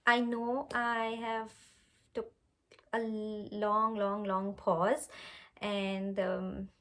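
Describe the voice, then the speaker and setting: A young woman with an Urdu accent, a cooking channel host chatting casually to camera, unhurried with many fillers. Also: medium pitch, flat delivery, fairly bright tone. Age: young adult. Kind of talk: casual direct-to-camera vlog.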